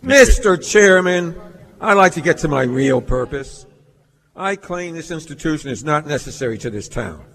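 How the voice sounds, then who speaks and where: A man's voice: a little high, lively intonation, a bit bright. A man playing a cold, contemptuous old businessman villain in a radio play, speaking in a haughty, scornful way. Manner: haughty, scornful, deliberate speech. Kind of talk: staged old-time radio play acting. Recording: reverberant stage hall recording.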